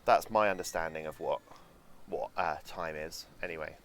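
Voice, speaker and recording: Low-voiced chatty male streamer. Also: moderate pace, a bit bright, a little hiss or hum. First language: German. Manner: chatty and sarcastic, swears, muses to chat. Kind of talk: casual live-stream commentary.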